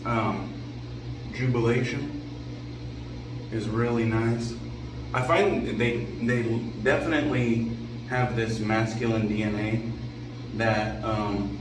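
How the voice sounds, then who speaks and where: A man hosting a shaving vlog while on vacation, his voice raspy and hoarse from drinking and talking over music, rambling casually and clearing his throat often. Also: medium pitch, steady tone, slow, long pauses. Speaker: shaving channel host. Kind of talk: casual shave vlog talk. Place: vacation bathroom with air conditioning. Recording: echoey room, distant mic, noticeable background hum.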